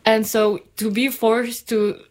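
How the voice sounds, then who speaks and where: A woman's voice: a little high, little pitch movement, slow. A woman chatting casually as a talk show guest, informal and often searching for words. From Iran. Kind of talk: casual conversational chat.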